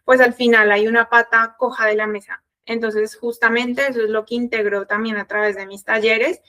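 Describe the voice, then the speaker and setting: A woman's voice: a little high, little pitch movement, moderate pace, pushed and bright. A woman in her thirties with a Colombian Spanish accent, presenting an online workshop conversationally as a sales coach.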